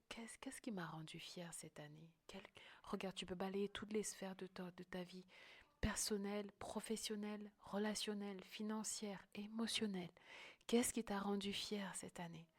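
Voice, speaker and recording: A woman's voice: medium pitch, steady tone, moderate pace, slightly rough. A woman hosting a motivational coaching podcast, speaking emphatically and often checking in with the listener. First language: French-English bilingual.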